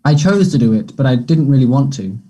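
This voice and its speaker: A man at a play table read, playing a conflicted, defensive young man full of guilt and distress, with a husky voice. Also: mid-range voice, animated, fairly quick, dark tone. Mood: uneasy, ashamed.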